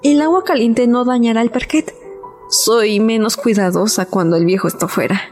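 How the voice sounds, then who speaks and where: An unhinged murderess narrates her own crime in a radio play, voiced by a woman, her tone brooding and repetitive. She draws out her words slowly and dramatically, and she sits a touch high for a woman. Clear music plays under her throughout. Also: close to an everyday voice. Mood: uneasy. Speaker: narrator and protagonist. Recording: voice over loud music.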